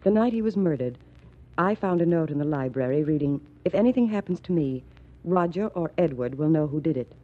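An actress playing a bitter, self-possessed widow in 1940s radio style, earnest, dramatic and husky. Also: female voice, low voice, animated, fairly quick. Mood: bitter, confiding. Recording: muffled 1940s radio recording.

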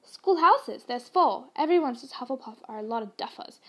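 A woman reading aloud and voicing a big, gruff, kindly male gamekeeper in a loud, hearty voice. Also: on the high side, lively intonation, normal speed.

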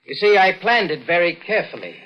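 Intense young radio-thriller villain, highly animated. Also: male voice, quick pace, dull audio. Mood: cold, menacing. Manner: melodramatic, intense. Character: desperate, resentful young male ward turned cold murderer. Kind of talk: 1940s radio thriller acting. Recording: noisy vintage 1940s radio recording.

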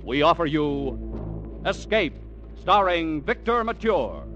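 A man's voice: on the high side, sing-song, slow, a bit bright. A man announcing in the formal, dramatic, declamatory style of mid-century network radio. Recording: dull vintage radio recording, faint music.

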